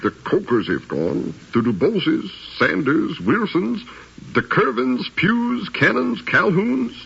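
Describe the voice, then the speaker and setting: An actor playing a restless, eager planter's son in a 1950s radio drama, earnest and pleading. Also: male voice, sits high, very animated, slow, vocal fry, a bit bright, slightly rough, exaggerated voice. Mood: restless yearning. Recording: dull 1950s radio recording.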